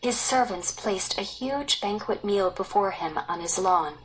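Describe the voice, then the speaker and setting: A woman narrates a scripted story aloud. Her pace is slow and deliberate, her pitch is average, and her delivery is expressive. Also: slight background noise, low-quality recording.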